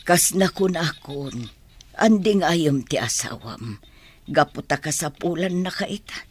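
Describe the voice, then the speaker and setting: A husky older Filipino mother. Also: deep voice, lively intonation, a little slow, exaggerated voice. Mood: advising. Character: older mother, gently advising her grown child. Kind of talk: Filipino radio drama acting.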